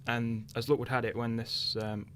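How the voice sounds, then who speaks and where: A man's voice: medium pitch, little pitch movement, normal speed, fairly bright tone, slight background noise. A young man chatting casually in a radio interview, thinking aloud hesitantly. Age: young adult. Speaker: interviewed radio drama writer.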